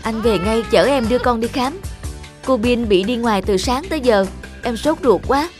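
A woman's voice: a little high, moderate pace, over music, lightly acted. A woman playing an anxious, exasperated young new mother in a cartoon, plaintive and scolding. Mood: panicked, anxious. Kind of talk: cartoon dialogue.

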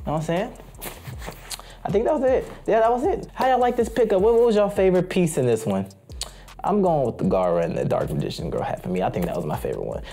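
A man's voice: sits high, very animated, quick pace, gravelly, dark tone, slight background noise. A young man chatting casually in a haul video, hyped and slangy, repeating his phrases. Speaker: streetwear haul vlogger. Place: small indoor room full of anime posters.

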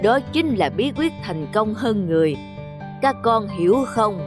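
Patient old cartoon schoolmaster, female performer, mid pitch for a woman, measured teaching tone, music bed. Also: lively intonation, natural voice. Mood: earnest, explaining. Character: patient, wise old village schoolmaster, a man. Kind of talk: scripted cartoon dubbing. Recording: music under the voice.

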